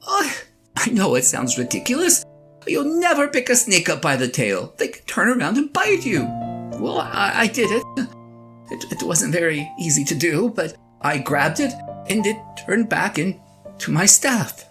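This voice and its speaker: A woman's voice: lowish voice, very animated, measured pace, fairly bright tone. A woman playing a flustered, self-doubting shepherd husband in an audio drama, stammering earnestly as the character confides in a spouse. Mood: agitated, insistent. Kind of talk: one-sided audio drama monologue, conversational and comic. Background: plucked guitar music.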